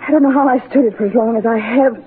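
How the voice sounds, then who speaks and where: A woman's voice: fairly high pitch, quick pace, lightly acted. Femme fatale wife lady acting, bossy n needy, dull old radio sound with some hiss. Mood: bitter. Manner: dramatic, insistent. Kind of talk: 1940s radio melodrama acting. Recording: dull 1940s radio recording, light noise.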